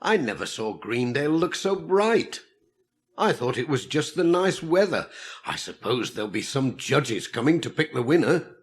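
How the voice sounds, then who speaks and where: A man's voice: mid-range voice, very animated, moderate pace, lightly acted. A man voicing a cheerful, easygoing village postman with a dry wit and chatty, folksy talk in a children's audiobook.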